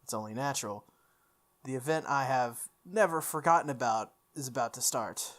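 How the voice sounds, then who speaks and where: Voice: mid-range voice, normal speed, fairly bright tone. A game playthrough host expressively reading story text aloud, stumbling and correcting along the way, with off-the-cuff asides.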